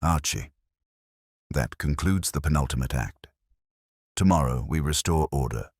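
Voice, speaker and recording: A man voicing a commanding, cerebral private detective who makes formal, deliberate pronouncements in an audio story. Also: extremely low pitch, husky, no room sound, clean studio sound, heavily acted. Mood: solemn.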